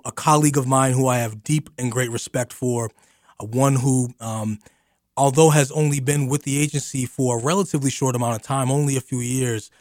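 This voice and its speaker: A male radio host introduces his guest in a studio, stopping and restarting often and filling pauses with uhs. His voice sits at a middling pitch, recorded dry and close. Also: dry recording. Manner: halting, repeats words, many uhs. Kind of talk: radio interview. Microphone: studio microphone.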